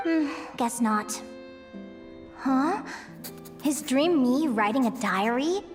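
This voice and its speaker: High-pitched young female game adventurer, cheerful, dreamy, very lively intonation, music behind. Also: slow pace. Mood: curious, musing. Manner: expressive, exclamatory, talks to herself. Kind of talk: video game voice acting.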